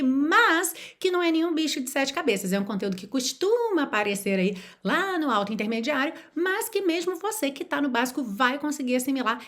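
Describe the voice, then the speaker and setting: A woman teaching an online lesson in a fairly high, very animated voice. She speaks straight to the viewer in an encouraging way. She slows down to model each example phrase and then repeats it. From Rio de Janeiro, Brazil. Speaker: language teacher.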